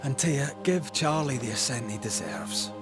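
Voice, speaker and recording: An actor playing a compassionate ghost hunter in a game, earnest and plain-spoken. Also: male voice, mid-range voice, sing-song, normal speed, natural voice. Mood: urging. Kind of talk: dramatic game cutscene acting. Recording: music behind the dialogue.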